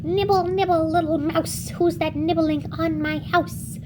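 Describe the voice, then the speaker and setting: Woman putting on a high singsong old witch. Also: fairly even intonation, a little slow, background noise. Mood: singsong, menacing. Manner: singsong, coaxing. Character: creepy old witch woman, coaxing and sugary.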